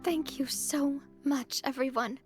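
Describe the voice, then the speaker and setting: Game role: high-pitched young woman boss, sincere and sentimental, music behind. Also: steady tone, slow. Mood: earnest, reflective. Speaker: game character, young leader. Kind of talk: video game voice acting. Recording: studio voice over game music.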